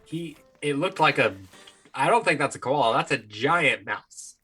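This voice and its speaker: Bright, forceful man bantering over music. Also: on the high side, expressive, moderate pace. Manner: joking, crude interjections. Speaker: podcast co-host. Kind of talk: casual comedic banter. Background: royalty-free synthesizer music.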